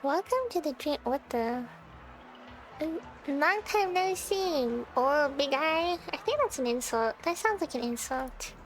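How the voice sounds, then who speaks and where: A woman's voice: high voice, animated, unhurried, rough recording. A woman live streamer chatting casually with her viewers as she plays a game, in a playful, giggly, teasing way.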